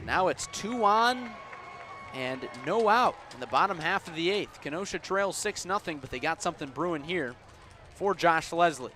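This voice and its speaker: A man doing live radio play-by-play of baseball as the announcer, with a measured delivery that rises on the action. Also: on the high side, expressive, bright, forceful tone. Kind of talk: live radio sports commentary. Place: outdoors at a baseball stadium. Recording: outdoor ballpark ambience noise behind.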